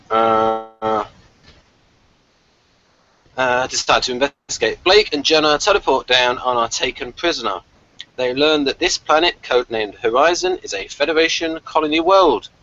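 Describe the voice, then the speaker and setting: A man's voice: medium pitch, bright, forceful tone, highs cut off. A man hosting a review discussion, recapping and chatting casually, hesitant and often correcting himself. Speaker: podcast host. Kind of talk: episode synopsis and casual review chat.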